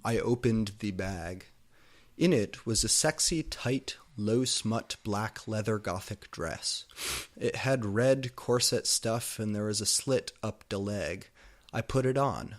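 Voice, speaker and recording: A man giving a deliberate, theatrical dramatic reading of fan fiction. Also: fairly low pitch.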